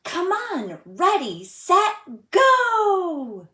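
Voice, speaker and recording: Woman voicing an excited, high-pitched young boy camper. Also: drawn-out words, echoey room, heavily acted. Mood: excited, eager.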